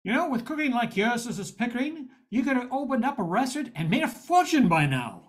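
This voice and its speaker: A confident, wry private eye from an old-time radio play, read by an older amateur actor. The delivery is very animated, with wide pitch swings. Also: fairly quick. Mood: teasing.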